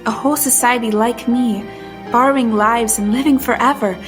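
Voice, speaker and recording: Actress as a genteel, bewildered young woman, higher voice. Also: steady tone, normal speed, lightly acted. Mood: narrating. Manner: proper, genteel period phrasing. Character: bewildered, well-mannered young asylum escapee in 1871, narrating her tale. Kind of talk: audio drama dialogue and first-person narration. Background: music.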